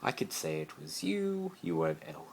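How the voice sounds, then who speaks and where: A man's voice: mid-range voice, huge pitch swings, moderate pace, gravelly, voice pushed up. A man voicing a spoiled, pleading, haughty teenage wizard boy in an amateur dramatic fan fiction reading. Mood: eager explaining. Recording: noisy amateur phone recording.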